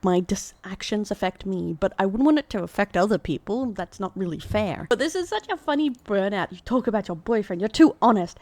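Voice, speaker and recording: A woman's voice: medium pitch, a little mellow, no echo. A woman with an Australian accent, chatting casually as a VTuber reacting to fan posts, laughing often and amused. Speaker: VTuber commentator.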